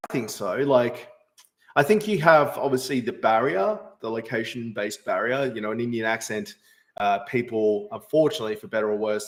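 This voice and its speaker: A man, hosting a casual live stream and answering chat questions with many fillers and restarts. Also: mid-range voice. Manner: rambling, frequent fillers and restarts. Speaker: livestream host answering chat.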